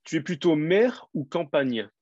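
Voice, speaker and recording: A man who teaches French, hosting an interview and asking quiz questions clearly and encouragingly. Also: a little high, very animated, unhurried, darkish tone, dry recording, dull audio. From France.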